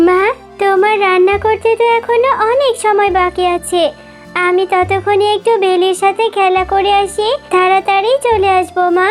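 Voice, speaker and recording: A woman's voice: very high voice, steady tone, normal speed, faint music behind. A woman voicing an eager little girl fox cub in a cartoon, with a childlike, wheedling way of talking. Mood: sweet, coaxing. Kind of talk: cartoon voice acting.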